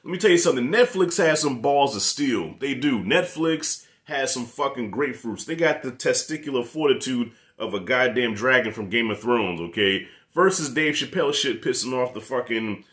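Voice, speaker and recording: A man in his thirties with a New York accent, giving a casual, profane, animated review rant to camera in his living room. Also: medium pitch, moderate pace, hardly any pauses. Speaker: movie reviewer.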